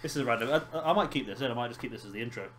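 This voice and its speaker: Hoarse man commentating a game. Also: mid-range voice, rapid-fire, a bit bright, slight background noise. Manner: chatty, laughing, swears casually. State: hoarse, lost his voice. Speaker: host of a gaming channel.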